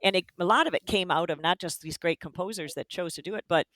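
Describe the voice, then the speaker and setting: A woman's voice: lowish voice, fast, a bit bright, no room sound. A woman co-hosting a podcast about community bands, talking with enthusiasm in long, run-on sentences. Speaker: podcast co-host, band director. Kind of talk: casual conversation.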